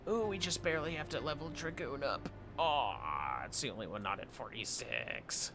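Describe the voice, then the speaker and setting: A man's voice: medium pitch, very animated, normal speed, music in the background. A man livestreaming a game, talking to himself in a playful, rambling, casual commentary. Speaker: livestreamer playing an online fantasy game.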